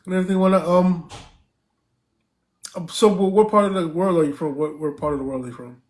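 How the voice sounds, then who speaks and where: A man's voice: high-pitched, fairly even intonation, fairly quick, a little mellow. Man hosting a casual live stream. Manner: thanks viewers, many fillers and restarts.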